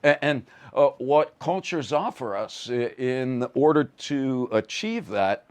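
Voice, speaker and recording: A man's voice: medium pitch, very animated, takes it slowly, darkish tone, smooth. A man giving a conversational lecture in a wry, vivid, emphatic manner, often stammering on the starts of words.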